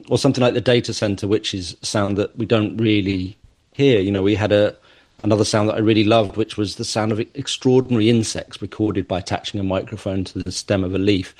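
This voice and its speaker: A man's voice: on the low side, darkish tone. A man, a musician and judge, answering radio interview questions in a thoughtful, hesitant way with many restarts.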